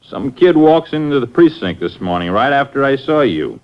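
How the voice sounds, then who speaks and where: An actor playing a gruff, no-nonsense policeman in a 1950s radio crime drama. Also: medium pitch, sing-song, normal speed, lightly acted. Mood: grudging, explanatory. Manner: gruff, matter-of-fact. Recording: dull vintage 1950s radio recording.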